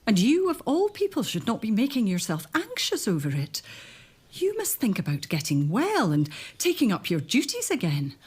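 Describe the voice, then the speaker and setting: A genteel sister-in-law, husky, lively tone, coaxing. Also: female voice, fairly high pitch, moderate pace, heavily acted. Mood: firm. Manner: genteel, coaxing, talks down gently. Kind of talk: period audio drama acting.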